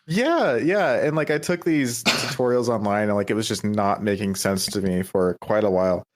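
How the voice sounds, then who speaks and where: A man's voice: on the low side, normal speed. A young man as a podcast guest, chatting casually and self-deprecatingly, with many fillers and easy laughs. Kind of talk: casual interview chat.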